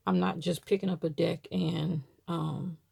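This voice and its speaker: Gravelly-voiced woman chatting about tarot decks. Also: fairly low pitch, flat delivery, unhurried, warm tone. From Baltimore, USA. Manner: chatty, rambling with many fillers; shows items to camera while talking. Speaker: tarot deck reviewer. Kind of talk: casual chat to camera.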